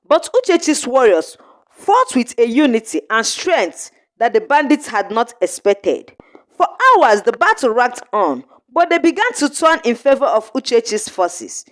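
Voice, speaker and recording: A woman narrating a scripted folktale in short, measured phrases with an earnest storybook delivery. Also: fairly high pitch.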